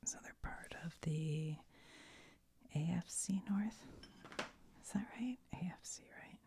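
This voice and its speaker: A woman talks in a soft, slow whisper, musing to herself and her viewers while she works. Her low voice has a gravelly, crackly texture. She drifts from thought to thought, unhurried. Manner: soft rambling whisper, thinking aloud. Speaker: ASMR video maker. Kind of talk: whispered ASMR craft chat.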